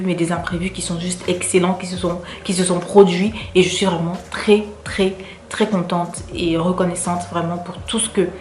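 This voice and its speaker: A woman with a French accent talks to her viewers in a relaxed vlog, her voice a little low for a woman and stacking repeated words for emphasis. The room is echoey, with a faint hum of ambience behind her. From Cameroon. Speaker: vlogger. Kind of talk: casual vlog chat.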